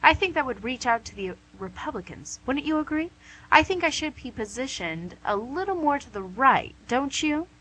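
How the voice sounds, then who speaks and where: Smug lady devil done by an actress, high sharp voice, super swoopy, coaxing and theatrical, radio comedy. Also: very animated, normal speed, husky, heavily acted, rough recording. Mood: self-satisfied. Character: smug, wheedling, image-conscious female devil called Lucy. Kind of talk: comic radio play acting.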